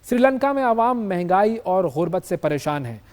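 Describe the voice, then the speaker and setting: Higher-pitched Pakistani male news host. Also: very animated, moderate pace, a little mellow. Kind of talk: news presentation with explanatory commentary.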